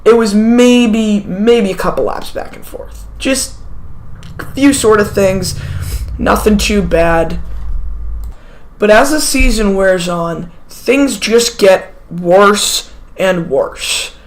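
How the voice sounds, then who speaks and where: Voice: medium pitch, slow, poor audio. A sick teenager sniffles through a casual storytime monologue. The talk wanders, with asides, self-corrections and offhand remarks. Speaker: vlogger telling a personal story.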